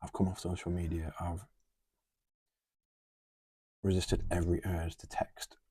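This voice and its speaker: A man's voice: low-pitched, level tone, unhurried, mellow, breathy edge, dry recording. A man, talking earnestly and musing aloud on a podcast. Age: 20s. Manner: earnest, musing, talks through lists. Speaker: podcast co-host. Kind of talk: casual heartfelt conversation.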